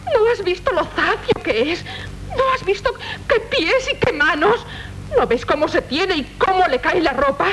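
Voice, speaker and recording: A woman's voice: lively intonation, normal speed, fairly bright tone. An actress playing a proud, impetuous young noblewoman of medieval Castile in theatrical radio drama, with a Castilian Spanish accent. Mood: scornful, indignant. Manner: haughty, impetuous, melodramatic. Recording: noisy, rumbling 1970s radio recording.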